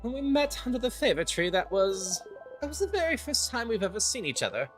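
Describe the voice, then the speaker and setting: A streamer voicing a playful, teasing star-like creature from a video game, theatrical and cheery, with sing-song, drawn-out words. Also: mid-range voice, sing-song, music in the background, pitched above the performer's own voice, close to an everyday voice. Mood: coy.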